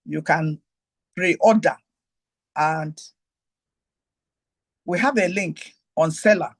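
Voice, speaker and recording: A woman with a Nigerian accent hosting her show, giving a teaching talk mixed with personal stories and repeating her main points to listeners on a group call. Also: very slow. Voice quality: high-pitched. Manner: digressive, repeats key phrases, talks straight to listeners. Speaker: host.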